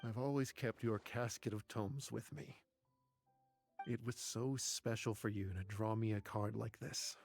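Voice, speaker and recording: An actor playing a devoted, stubborn young scholar in a video game, earnest and emotional. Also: male voice, lowish voice, fairly even intonation, measured pace, creaky, a little mellow, faint music behind. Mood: grieving, reflective. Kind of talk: dramatic video game voice acting.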